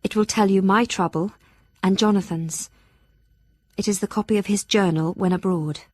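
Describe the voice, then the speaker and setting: An actress voices a young Victorian gentlewoman reading her journal in an audio drama. She is earnest and anxious, measured and formal, with a middle pitch and a close, dry sound. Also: fairly even intonation, no echo, lightly acted. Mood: grateful, relieved. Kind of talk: audio drama acting, diary narration.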